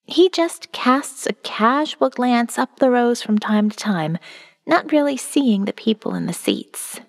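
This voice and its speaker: A woman performs a weary female survivor narrating her diary for an audio novel. Her voice sits fairly high, wry and watchful. A long reverb trails her words, as in a hall.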